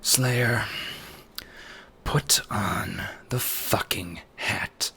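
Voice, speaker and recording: A man voicing a stern, commanding queen in low, clipped, husky tones, in a fan audio drama. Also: drawn-out words, bright, forceful tone, exaggerated voice.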